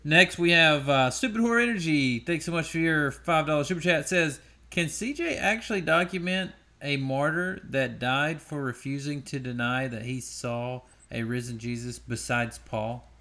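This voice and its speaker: A man moderating a debate, reading out live-chat questions in a relaxed, friendly way with many fillers. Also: on the high side, normal speed, few pauses.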